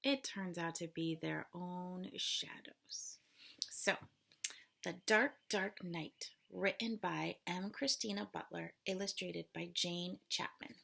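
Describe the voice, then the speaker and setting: A woman's voice: fairly low pitch, slow, vocal fry, a bit bright. A woman teacher reading a children's story aloud, expressively and dramatically, with explanatory asides. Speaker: elementary school teacher.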